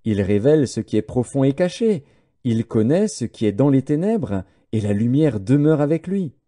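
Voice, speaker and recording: Man reading as a devout young man, very mellow. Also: mid-range voice, sing-song, normal speed. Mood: reverent. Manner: reverent, solemn. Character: devout, prudent young Hebrew man praising God. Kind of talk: dramatised scripture reading.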